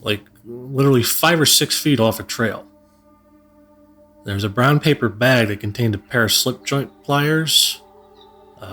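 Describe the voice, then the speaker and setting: Man playing a halting, unsettled storyteller. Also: fairly low pitch, a bit bright. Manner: halting, conversational. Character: unsettled man recounting a disturbing find. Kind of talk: first-person horror story narration, conversational. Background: music.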